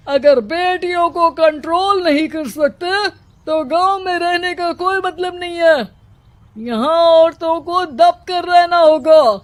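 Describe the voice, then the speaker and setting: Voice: very high-pitched, no room sound. A performer voicing a stern, domineering village headman in a story, with a commanding, scolding manner. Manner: commanding, scolding, slow deliberate delivery. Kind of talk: dramatized moral story acting.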